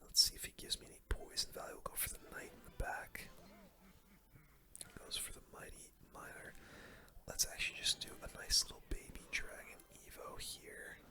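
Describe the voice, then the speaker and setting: A gaming host speaks in a breathy, unvoiced whisper with bright, sibilant crispness. The delivery is ASMR game commentary, casual and slangy, narrating each move as it comes. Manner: relaxed casual gamer slang.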